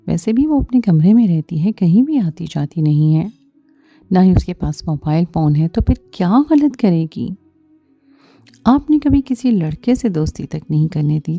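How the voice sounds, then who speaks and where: A woman's voice: sits low, creaky, warm tone, no room sound. A woman reading a scripted first-person story as its narrator, with music behind her.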